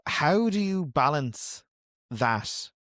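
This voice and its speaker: A man hosting an interview, relaxed and teasing, asking questions with easy fillers. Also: a little high, very slow, dry recording, clean studio sound. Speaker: interview podcast host. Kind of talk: interview conversation.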